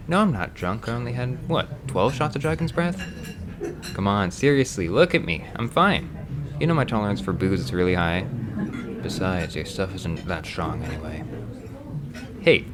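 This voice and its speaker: A man's voice: low voice, background noise. A man voicing a boastful, cynical ex-adventurer drinking in a tavern in a roleplay piece, with a sardonic, bragging manner. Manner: cocky, sardonic, slurred bragging. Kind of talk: romance roleplay ASMR.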